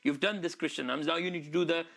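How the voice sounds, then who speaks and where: A man from Wales answering interview questions in a quick, earnest way, often restarting his sentences. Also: on the high side, steady tone, gravelly, a bit bright. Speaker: interview guest. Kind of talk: casual interview chat.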